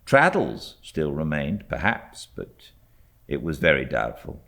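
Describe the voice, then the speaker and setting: Very deep, unhurried British male narrator. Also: sing-song, gravelly, bright, forceful tone. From Britain. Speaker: audiobook narrator. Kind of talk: literary storybook narration.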